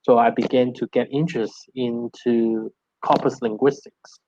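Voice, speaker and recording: A man's voice: mid-range voice, steady tone, measured pace, dark tone, no echo. A middle-aged man with a Hong Kong Cantonese accent, a native Cantonese speaker, explains as a professor answering questions, often repeating words as he goes. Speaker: linguistics professor, guest lecturer. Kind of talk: answering questions after an academic talk. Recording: dull, band-limited online call audio.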